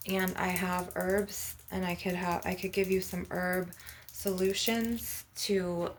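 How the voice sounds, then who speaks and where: A woman's voice: fairly low pitch, flat delivery, slow, slight background noise. A woman hosting an ASMR spiritual healing session, speaking to the viewer in an earnest, affirming, instructive way. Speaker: spiritual healer and channel host.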